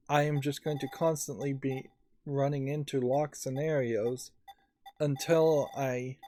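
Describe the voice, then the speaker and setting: A man hosting a let's play, giving unscripted gameplay commentary in a halting, thinking-aloud manner. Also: mid-range voice, mellow. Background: faint game music.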